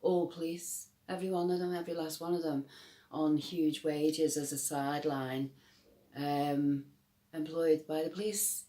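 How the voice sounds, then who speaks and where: A woman's voice: low voice, little pitch movement, unhurried, dark tone, roomy sound. A middle-aged woman with a Scouse accent, telling her personal story to camera in an emphatic, rambling way with many restarts. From Liverpool, England.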